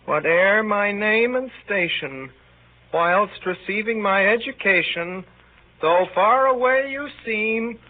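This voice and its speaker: A man's voice: high-pitched, slow, narrow, tinny audio. An actor playing a lovesick, irritable teenage boy in a melodramatic, declaiming 1930s radio style. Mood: lovesick, yearning. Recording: thin, band-limited 1930s radio recording.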